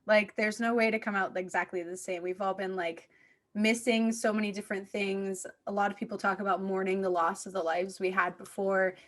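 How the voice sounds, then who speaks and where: A woman's voice: medium pitch, level tone, a little fast, fairly bright tone. A woman hosting a podcast interview in a casual, chatty and informal way, with plenty of slangy filler. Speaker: podcast host and children's theater director.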